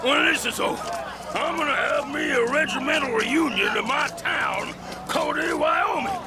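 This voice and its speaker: An actor playing a feverish, dying colonel in a radio drama, rambling and rallying his boys in a strained, gravelly shout. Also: extremely high pitch, normal speed, over loud music. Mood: fervent, rallying. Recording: noisy outdoor scene, crowd behind.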